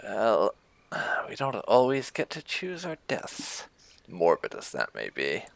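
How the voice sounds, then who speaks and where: Slow, gravelly man commenting on gameplay. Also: fairly low pitch, wildly swooping pitch, no echo. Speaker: gaming channel host.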